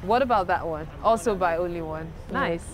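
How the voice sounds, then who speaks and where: A woman hosting a breakfast TV show, chatty and quick to be impressed. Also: lowish voice, a loud crowd. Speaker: morning TV show host. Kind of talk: breakfast TV studio chat.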